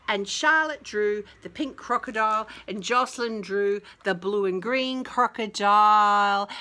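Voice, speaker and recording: A woman reading a picture book aloud to young children in an expressive, dramatic way, with casual chatty asides and some hushed delivery. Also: medium pitch. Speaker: children's story reader.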